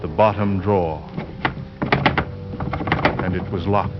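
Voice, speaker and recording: A man narrating a suspense tale as a radio actor, grave and deliberate in a theatrical 1940s style. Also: deep voice, fairly even intonation. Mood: suspenseful. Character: grave, ominous male storyteller of a horror tale. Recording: muffled 1940s radio recording with music.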